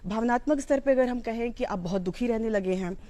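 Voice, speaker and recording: A woman's voice: a little high, fairly quick, warm tone. A woman with an Indian accent giving an informal, explanatory educational talk, mixing in English terms. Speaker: psychology educator.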